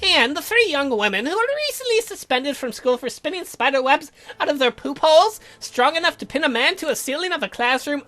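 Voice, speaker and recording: A man's voice: extremely high pitch, lively intonation, brisk, sharp tone, faint music behind, voice pushed up, heavily acted. A man voicing a prying, accusing interrogator from a visual novel, pointed and insinuating.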